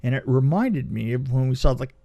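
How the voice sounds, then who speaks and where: A man co-hosting a podcast, chatting casually and telling anecdotes in an animated way, often repeating himself for emphasis. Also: mid-range voice, brisk. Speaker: podcast co-host and film production designer. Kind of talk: casual movie review chat and anecdotes.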